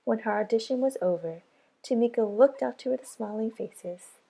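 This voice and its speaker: A woman's voice: fairly high pitch, moderate pace, creaky, dark tone, slightly rough. A woman preschool teacher reading a children's storybook aloud as narrator.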